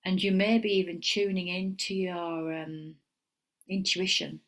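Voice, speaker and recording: A woman's voice: fairly low pitch, fairly even intonation, a little slow. A middle-aged woman with a Yorkshire accent, giving a casual tarot reading at home and wandering into chatty asides. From England. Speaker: tarot reader.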